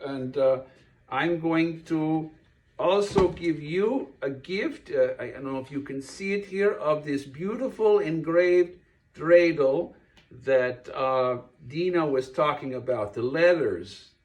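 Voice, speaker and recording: Slow-paced, higher-voiced man presenting for children. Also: lively intonation. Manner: talks directly to a child, explaining simply. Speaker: puppet show performer and host. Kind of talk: children's puppet show presentation.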